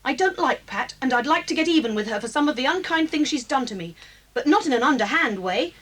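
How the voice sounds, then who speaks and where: A woman's voice: high voice, lively intonation, fast talker, a bit bright. An actress with an English accent playing a bad-tempered, emphatic schoolgirl outcast in a children's audio drama. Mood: defiant, indignant. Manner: emphatic, indignant.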